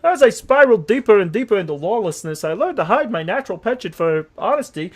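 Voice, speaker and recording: Cartoon horse outlaw played by a man: guilty, theatrical storyteller, high and very animated. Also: fast talker. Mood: dramatic narration. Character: jittery, guilt-ridden talking horse outlaw telling his tale. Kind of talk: dramatic fandub narration.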